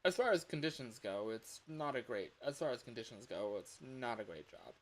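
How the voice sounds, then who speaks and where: A man's voice: mid-range voice, very animated, moderate pace. A man voicing a chatty, resigned family-man coworker who is training a newcomer in a video game. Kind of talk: rehearsed game voice lines. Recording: clear background hiss.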